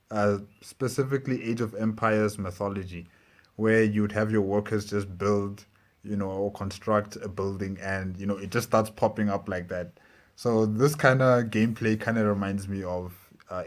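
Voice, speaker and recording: A man's voice: fairly low pitch, steady tone, normal speed. A man hosting a reaction video, commenting casually and musing aloud in a tentative way.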